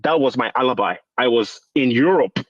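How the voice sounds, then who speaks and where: A man's voice: medium pitch, expressive, a little fast, no echo. A man with a Nigerian accent giving casual commentary as a caller to a show, heard over a phone call. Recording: dull, dry phone-call sound.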